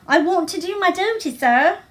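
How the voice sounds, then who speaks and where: A woman's voice: extremely high pitch, expressive, normal speed, a bit bright, pitched above the performer's own voice. A woman voicing a blunt, gossipy young housemaid from a storybook, speaking in rustic dialect. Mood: nervous, pleading. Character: young Yorkshire housemaid, chatty, blunt, anxiously deferential to masters.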